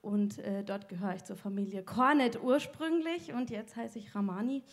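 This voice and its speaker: A woman's voice: medium pitch, moderate pace. A woman from the southern Palatinate in Germany, a guest speaker chatting casually in a stage interview.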